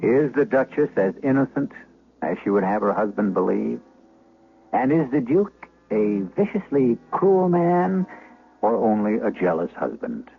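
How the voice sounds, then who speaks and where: A man hosting an old-time radio drama with deliberate, theatrical, ominous narration. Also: mid-range voice, big pitch swings, creaky. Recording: tinny, noisy vintage radio broadcast.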